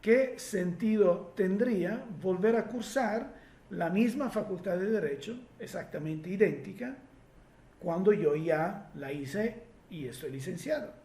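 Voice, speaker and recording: Italian man with a mellow, echoey voice. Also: sits high, expressive. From Italy. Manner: reflective, explanatory, with many filler pauses. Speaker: regression therapist. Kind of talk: answering listener questions.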